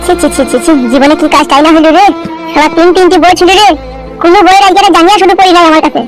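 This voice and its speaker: A man acts out a down-on-his-luck cartoon villager with an extremely high voice. He rattles along in a rural West Bengal accent, whining, cursing and repeating himself in self-pity, with clear music behind him. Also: rapid-fire, low-quality recording. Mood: despairing. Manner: whining, ranting, repetitive. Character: homeless, self-pitying, foul-mouthed village man. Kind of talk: comedy cartoon dialogue.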